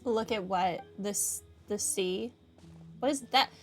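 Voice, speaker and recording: A woman's voice: medium pitch, slow. A woman giving casual, wry and sarcastic commentary while playing through a video game. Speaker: gamer, playthrough host. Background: game music.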